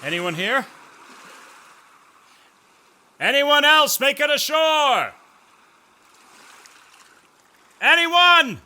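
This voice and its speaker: A man's voice: very high voice, very animated, a little slow, pushed and bright, heavily acted. A man playing a plainspoken shipwrecked mercenary in a space adventure audio drama. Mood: anxious calling. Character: male shipwrecked mercenary hunter, rugged and plainspoken, courteous.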